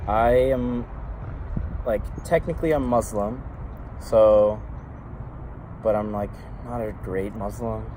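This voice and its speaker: A young man talking casually as a guest, relaxed and rambling with many fillers. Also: fairly low pitch, slow, long pauses. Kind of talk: casual chat. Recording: noisy street with passing traffic.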